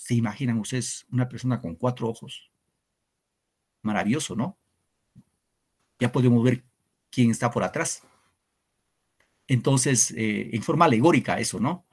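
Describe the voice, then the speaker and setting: A man's voice: medium pitch, a little mellow, husky. A man, teaching an online literature class to secondary students in an earnest, reflective way, often asking rhetorical questions. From Peru.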